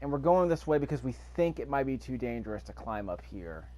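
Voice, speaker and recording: A man's voice: mid-range voice, sing-song, brisk, warm tone. A man giving casual gameplay commentary, thinking aloud to himself as he plays. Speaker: gamer recording a gameplay video.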